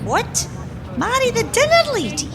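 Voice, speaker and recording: Radio play: kindly, fed-up Scottish woman, played by an amateur actress. High pitch, very animated, expressive. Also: measured pace, over music, heavily acted. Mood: curious, amused. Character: Mabel, fed-up kindly woman craving a day off.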